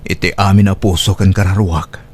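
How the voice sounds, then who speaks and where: A man's voice: sits low, level tone, normal speed, a little mellow, husky. A man with an Ilocano accent playing a tender, devoted middle-aged husband and single father in a sentimental audio drama. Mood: tender, earnest. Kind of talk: Ilocano radio-style audio drama acting.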